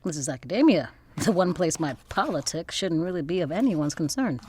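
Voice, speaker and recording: Proud, expressive young activist scholar. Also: female voice, fairly low pitch, a little fast, a little hiss or hum. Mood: poised, guarded. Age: young adult. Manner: articulate, formal diction. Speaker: voice actor in audio drama. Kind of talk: audio drama acting.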